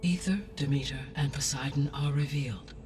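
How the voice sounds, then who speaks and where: Game AI guardian played by a man: calm, formal, precise diction, mid pitch, set back from the mic with music behind. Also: brisk, recorded from a distance, lightly acted. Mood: measured, formal. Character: calm, formal artificial intelligence guardian of Earth's terraforming. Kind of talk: scripted game cutscene dialogue.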